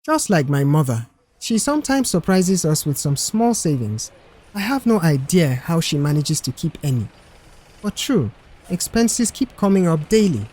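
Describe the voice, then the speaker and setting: A man's voice: fairly high pitch, big pitch swings, normal speed, darkish tone. A man voicing a weary, chatty middle-aged male mechanic and proud family man in an audiobook, talking earnestly. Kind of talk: audiobook character dialogue.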